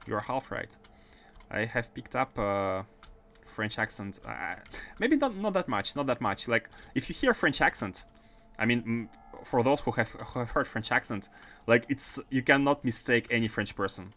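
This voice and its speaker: Halting man with a Russian accent. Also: mid-range voice, a bit bright. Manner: halting, repeats and restarts phrases. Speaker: gaming livestreamer. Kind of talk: casual livestream chat while playing.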